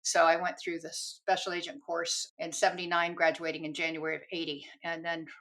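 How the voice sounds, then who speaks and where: Older woman telling lively war stories. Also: on the low side. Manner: lively, storytelling, quick to laugh. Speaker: retired army counterintelligence agent, guest. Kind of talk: telling her career story in an interview.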